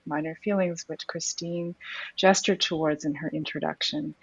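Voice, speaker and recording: A woman academic speaking as a panelist over a video call, halting and repeating words as she starts sentences. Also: lowish voice, no echo, dull audio. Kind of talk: panel discussion.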